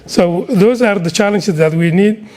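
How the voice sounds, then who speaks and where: A man's voice: high voice, a little slow, mellow. A middle-aged man from Somalia with a Somali accent, giving an earnest speech to an audience. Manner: earnest and exhorting, with repetitions. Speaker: community speaker.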